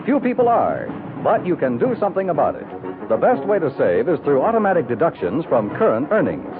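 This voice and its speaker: A man's voice: fairly high pitch, huge pitch swings, fairly quick. A man with an American accent reading scripted announcements in the style of a 1950s radio announcer. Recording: narrow-band 1950s radio recording. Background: music.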